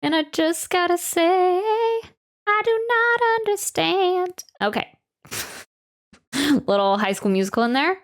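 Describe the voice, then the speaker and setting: A woman's voice: extremely high pitch, expressive, slow. A woman streamer reacting casually and talking with her viewers in informal, slangy language. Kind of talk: casual livestream reaction chat.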